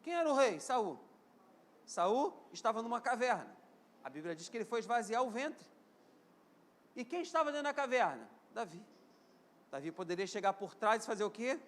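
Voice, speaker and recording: A Brazilian pastor in his fifties with a Rio de Janeiro accent and a strikingly high voice for a man. He preaches in call-and-response, asking the congregation to repeat lines and answer amen. His delivery climbs to loud, emphatic peaks and then drops back to quieter stretches. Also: sing-song, moderate pace, long pauses. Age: 50s. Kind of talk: live church sermon.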